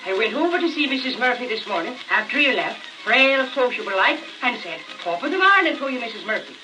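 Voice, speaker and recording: A man's voice: extremely high pitch, very animated, a little fast, pitched above the performer's own voice. A man voicing a doting, feisty Irish housewife with a gossipy, effusive manner on an old comedy record. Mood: indignant gossip. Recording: noisy crackling 78 rpm record, distant.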